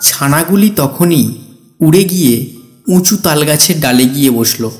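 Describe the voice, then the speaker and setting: A man's voice: a little high, expressive, measured pace, a little mellow, soft background music. A man narrating a children's story in a scripted storytelling style.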